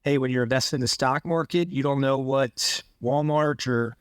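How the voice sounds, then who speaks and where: A man from Lancaster, Pennsylvania speaking as a podcast guest, thoughtful and pausing to find his words. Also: medium pitch, clear, resonant tone, dry recording, studio quality. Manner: thoughtful, stops to search for words. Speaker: guest, landlord. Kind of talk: podcast interview.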